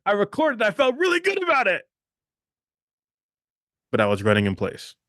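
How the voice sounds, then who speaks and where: A man's voice: high voice, huge pitch swings, moderate pace, fairly bright tone, no echo, studio quality. A man hosting a motivational podcast, talking emphatically, repeating phrases and checking in with "okay?". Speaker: podcast host.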